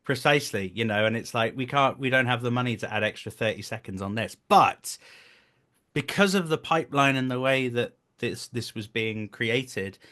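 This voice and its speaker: A man's voice: medium pitch, normal speed. A man in his thirties with a mid-range voice talks as a guest in a casual interview. He tells anecdotes as a director in a joking, self-deprecating way. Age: 30s. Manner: animated storytelling, self-deprecating, jokey.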